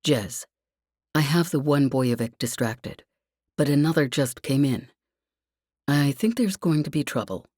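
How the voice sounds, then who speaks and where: A woman voicing a cautious, exasperated man in an audiobook, giving urgent warnings in a hushed, hissing way. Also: low voice, animated, measured pace, long pauses, no echo, studio quality.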